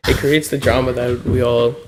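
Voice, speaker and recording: A man's voice: medium pitch, level tone, normal speed, background noise. A young man chatting on a podcast, laid-back and laughing often, stumbling over his openings. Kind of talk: casual chat.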